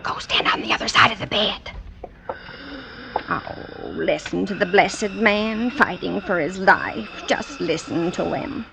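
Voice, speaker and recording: A woman's voice: medium pitch, unhurried, creaky. An actress playing a pious, grieving woman with a solemn, melodramatic manner in vintage radio drama style. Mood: hushed urgency. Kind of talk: 1940s-50s radio drama acting. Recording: noisy old radio broadcast.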